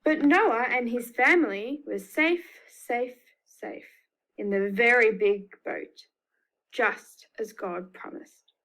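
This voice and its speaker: A woman's voice: a little high, takes it slowly, a bit bright, low-quality recording. A woman with an Australian accent reading a picture book to young children, expressively, with emphatic repetition. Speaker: children's storyteller.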